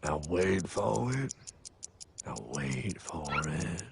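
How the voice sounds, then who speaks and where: A man voicing a cocky, mysterious time-travelling cartoon mentor, gravelly, smug and theatrical. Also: sits low, expressive, takes it slowly, slight background noise, full character voice. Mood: knowing. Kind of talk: cartoon voice acting. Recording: loud music behind the voice.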